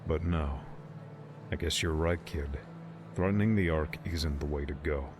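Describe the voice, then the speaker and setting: A man's voice: very deep voice, measured pace, noisy background. An actor playing a smug, menacing older man who leads a mob, taunting and condescending, in an audiobook drama. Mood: cold threat. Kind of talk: audiobook drama villain acting.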